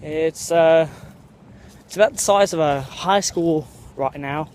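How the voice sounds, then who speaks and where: A man's voice: on the high side, slow pace, darkish tone, slight background noise. A young American man hosting a rambling vlog in a mock British accent, walking outdoors around a school campus and filming on a phone. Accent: American speaker putting on a mock British accent. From United States. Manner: jokey and meandering, full of fillers and self-corrections. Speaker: vlogger host. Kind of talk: rambling walk-and-talk vlog.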